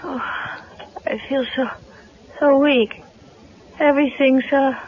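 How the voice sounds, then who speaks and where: A frightened, high-voiced captive woman. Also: fairly even intonation, takes it slowly. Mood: weak, confused. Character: frightened, drugged young woman held captive, narrating her nightmare. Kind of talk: 1970s radio drama acting. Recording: muffled vintage radio recording with music.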